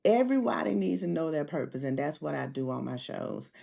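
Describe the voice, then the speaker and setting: Low, gravelly-voiced woman life coach. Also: lively intonation, brisk, mellow. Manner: earnest, faith-centered encouragement. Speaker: life coach, podcast guest. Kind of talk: interview answers.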